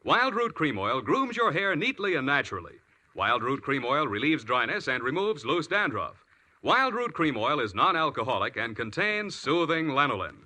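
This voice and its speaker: An American man delivers a polished 1940s radio commercial. His voice is intensely bright and forceful, with animated swings in pitch and an emphatic announcer's delivery. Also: normal speed.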